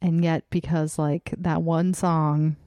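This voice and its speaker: A woman co-hosting a podcast reacts with eager enthusiasm and laughs readily during casual banter. Her voice is lowish, recorded close and dry. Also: level tone, slow, mellow, no echo. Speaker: podcast host. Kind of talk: casual chat.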